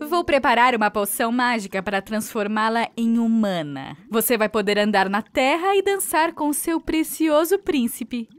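A woman voicing a scheming female sea witch in a cartoon, slow and gloating, with cackling laughs. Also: fairly high pitch, dry recording, natural voice. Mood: menacing. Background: background music.

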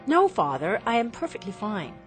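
An actress playing a rebellious, frustrated daughter in a radio drama, with a bitter, impassioned theatrical delivery. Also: female voice, medium pitch, wildly swooping pitch, normal speed, faint music behind. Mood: dismissive. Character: rebellious, frustrated grown daughter of a wealthy inventor.

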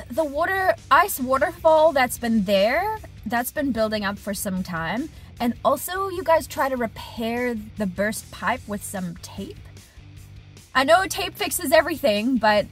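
A woman's voice: sits high, a little slow, faint music behind. A woman in her thirties, an HVAC technician, talking casually and expressively to camera from the cab of her truck. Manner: animated, expressive, gesturing with hands. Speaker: HVAC technician and business owner. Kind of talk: casual vlog diary. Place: inside a parked truck cab. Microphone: camera on dashboard at arm's length.

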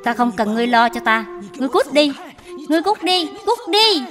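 Woman acting a high-voiced, stubborn old mother-in-law. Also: very animated, fairly quick. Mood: angry refusal. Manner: agitated, blunt, emotional. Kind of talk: dubbed animated moral tale. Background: background music.

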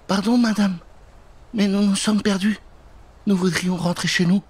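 A man's voice: sits high, fairly even intonation, unhurried, slightly rough, dry recording, voice pushed up. A man voicing a polite, cautious girl, a responsible older sister, in a children's Halloween story. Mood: polite, wary.

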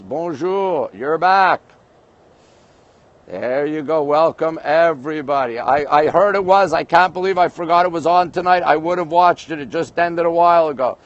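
A man from Montreal, Canada hosting a casual livestream from a high-rise balcony at night, greeting viewers by place and reading comments aloud. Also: no echo, muffled recording. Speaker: livestream host. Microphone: phone camera held by speaker.

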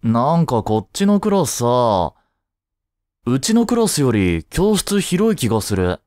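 Voice drama role: laid-back, teasing, protective teenage boy , played by a young man; medium pitch, unhurried, casual delivery. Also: sing-song, darkish tone, no room sound, studio quality. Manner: casual, blunt, teasing banter with pauses. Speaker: voice actor. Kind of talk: first-person voice drama, casual chat to the listener.

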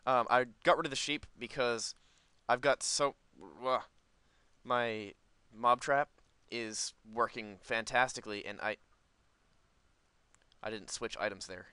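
A man's voice: fairly low pitch, steady tone, moderate pace, pushed and bright, clear, resonant tone, no echo. A man giving casual let's play commentary, rambling and thinking aloud as host of a gaming video.

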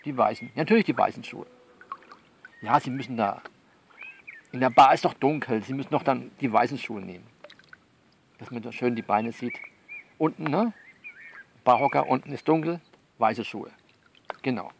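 A man's voice: medium pitch, moderate pace, creaky, pauses often, a little hiss or hum. A man in a conversational, improvised one-sided monologue, coaxing and bossing a listener along and often repeating himself. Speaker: performer of his own audio novel.

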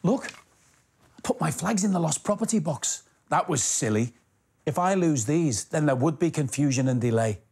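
A middle-aged man presenting and telling stories to children as a station master, expressive and clearly enunciated. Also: on the high side, normal speed.

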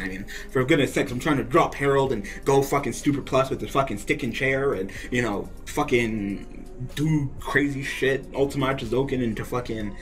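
A man's voice: mid-range voice, fairly bright tone. Ranting, profane young man with faint music. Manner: ranting, profane, laughing in exasperation. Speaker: YouTube game commentator. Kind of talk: venting gameplay commentary.

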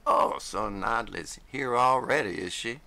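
Folksy old-timer with a slow rural drawl, deep and gravelly, put on by a male narrator. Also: bright, forceful tone, full character voice. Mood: puzzled. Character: folksy older man, grieving dog lover.

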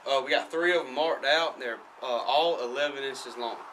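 A young man co-hosting a casual how-to vlog, explaining steps in a plain, halting way with many "uh" pauses, recorded outdoors and under a carport on a camera mic. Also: mid-range voice, poor audio. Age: young adult. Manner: plain, hesitant, halting with uh's. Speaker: co-host. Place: outdoors in a yard and an open carport.

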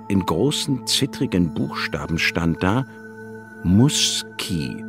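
An inquisitive scientist tells his story in a sci-fi radio play, acted by a man. His voice is low and varied in intonation, his manner dry and wry. Music plays clearly underneath. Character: inquisitive, wry male biologist narrating his expedition. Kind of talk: scripted first-person radio drama narration.

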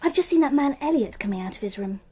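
A woman's voice: high voice, expressive, fast, mellow, slight background noise. A woman playing an observant young English girl who narrates her summer abroad in a radio drama, with an English accent. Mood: earnest, anxious. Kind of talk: radio drama acting and first-person narration.

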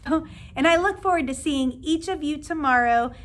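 A woman in her forties, a kindergarten teacher, reading a storybook aloud to young children in an expressive, animated way. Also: high voice, moderate pace. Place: indoor classroom.

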